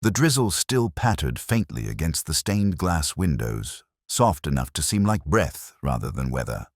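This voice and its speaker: Male actor as brooding older detective narrator for audio drama; very deep, contemplative, recorded dry and close. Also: dry recording, exaggerated voice. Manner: measured, literary, contemplative. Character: older male Oxford police inspector, brooding, reflective, melancholy. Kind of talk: scripted first-person detective narration.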